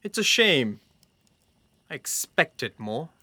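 A man's voice: fairly high pitch, big pitch swings, slow pace, pushed and bright, no echo, exaggerated voice. A man playing a stern, scornful young camp guard in an audio drama, with a formal, curt, intense manner. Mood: scornful, incredulous.

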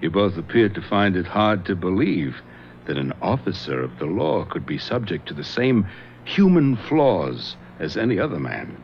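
An actor playing a shrewd police lieutenant in a radio drama, calm, probing and authoritative. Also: male voice, low voice, normal speed. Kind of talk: 1970s radio drama acting. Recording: dull old radio broadcast recording, faint hiss.

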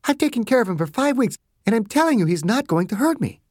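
A narrator voicing a confident, no-nonsense woman doctor, with a much higher voice than the narration. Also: big pitch swings, darkish tone, slightly rough, no room sound, clean studio sound. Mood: firm. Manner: brisk, firm.

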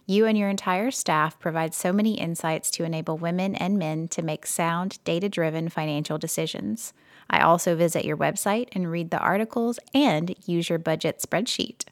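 Lady podcast host reading out a listener letter, steady and measured, lowish voice, dry close sound. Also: dry recording.

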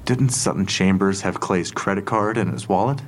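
An actor playing a methodical police investigator in an audio drama, businesslike and measured. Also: male voice, low voice, a little hiss or hum, natural voice.

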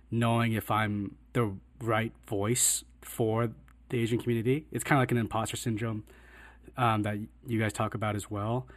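A man, a writer and director, talking reflectively in a casual panel conversation, halting with many fillers and restarts. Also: fairly low pitch, dry recording.

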